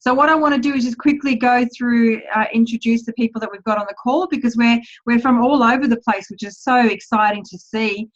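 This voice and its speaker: A woman hosting a casual group video call, upbeat and quick to praise each guest. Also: fairly high pitch. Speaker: host of a team video call. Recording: muffled video call audio.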